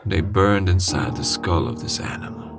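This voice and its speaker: A man reading a scary first-person story in a dramatic, suspenseful way with deliberate pauses. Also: low voice, expressive, creaky. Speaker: horror story narrator. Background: music.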